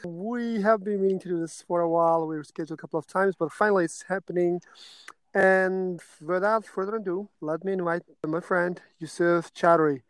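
A man's voice: high voice, steady tone, smooth, no echo. A man hosting a podcast, upbeat and encouraging as he chats with and questions his guest. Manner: upbeat and encouraging, quick interjections. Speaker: podcast host. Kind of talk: casual interview.

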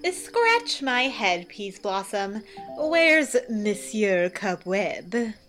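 Woman playing a slow, pompous donkey-man in Shakespeare. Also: medium pitch, very animated, fairly bright tone, faint music behind, exaggerated voice. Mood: lordly, fussy. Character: pompous drowsy man turned into a donkey, rustic and self-satisfied. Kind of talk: amateur Shakespeare radio play acting.

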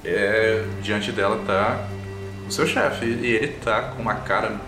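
A man's voice: fairly low pitch, a little slow. A man with a Brazilian accent narrating a tabletop game as game master. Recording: roomy echo, music underneath.

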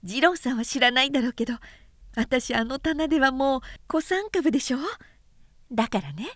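A woman's voice: high-pitched, lively intonation, normal speed, fairly bright tone, dry recording, clean studio sound. A woman voicing a devoted, spirited young woman of old Edo in an audiobook, with girlish feminine speech. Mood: happy. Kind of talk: audiobook character reading.

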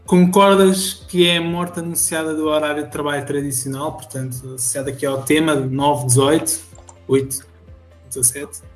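A man with a European Portuguese accent hosting a podcast, introducing the episode and the guest in a clear, formal way. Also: a little high, fairly even intonation, moderate pace, echoey room, faint music behind, poor audio. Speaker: podcast host.

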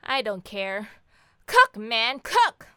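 A woman playing a sharp-tongued, bossy wife and mother who teases bluntly in a scripted audio comedy drama. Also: fairly high pitch, very animated, slow pace, clean tone, dry recording, clean studio sound, heavily acted. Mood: bossy demanding. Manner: sarcastic, bantering, blunt.

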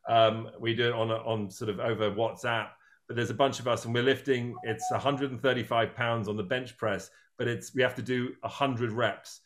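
A man's voice: medium pitch, little pitch movement, normal speed. A man in his fifties hosting an interview, talking in a rambling, self-deprecating way with many restarts. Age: 50s. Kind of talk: casual interview chat.

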